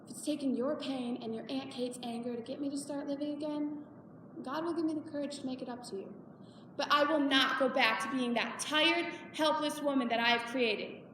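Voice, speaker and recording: A woman's voice: sits high, steady tone, brisk, a bit bright. A woman playing a weary, embittered widowed mother in a dramatic monologue, firm and emphatic with her daughter. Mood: weary, resolute. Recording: echoey hall, far from mic, noisy.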